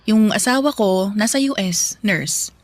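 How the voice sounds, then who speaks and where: A woman's voice: mid-range voice, a little slow, no room sound, natural voice. An actress playing a polite, proud middle-aged neighbour lady in a radio drama, mixing in English phrases. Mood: politely proud. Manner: gracious, composed, English phrases mixed in. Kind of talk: Tagalog radio drama acting.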